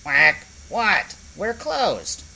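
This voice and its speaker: A man's voice: fairly high pitch, very animated, unhurried, sharp tone, voice pushed up, exaggerated voice. A man voicing a weary, grumpy duck-headed orc who works at a taco stand and keeps saying "whack". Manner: grumpy, says "whack" as a catchphrase.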